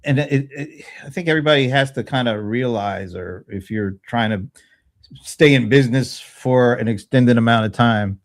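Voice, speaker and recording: A man in his fifties in casual panel chat, thinking aloud in a halting way and repeating words. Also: medium pitch. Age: 50s. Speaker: panelist on a small business show.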